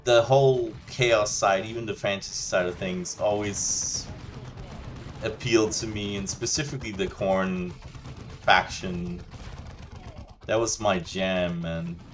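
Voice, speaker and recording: Low-voiced rambling man. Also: fairly even intonation, slow pace, slight background noise. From Denmark. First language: Danish. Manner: rambling, loose and chatty, many restarts and "you know". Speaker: gaming channel host. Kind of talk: casual rant over gameplay.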